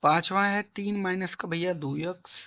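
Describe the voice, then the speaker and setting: A hoarse man with a sore throat teaching a math lesson, coaxing students along and asking and answering his own questions. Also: high-pitched, moderate pace, bright, forceful tone, muffled recording. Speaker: math tutor. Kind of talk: classroom-style math lesson.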